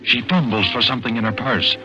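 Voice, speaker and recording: An actor playing an ominous, deliberate narrator of a 1940s radio crime thriller. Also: male voice, mid-range voice, close to an everyday voice. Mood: ominous, suspenseful. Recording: dull vintage radio broadcast recording. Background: dramatic music.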